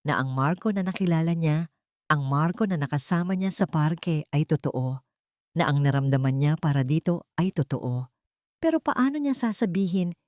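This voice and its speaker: A woman with a low voice narrates a dramatic story. The recording is dry and close, and the delivery is that of scripted storytelling. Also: dark tone, no echo.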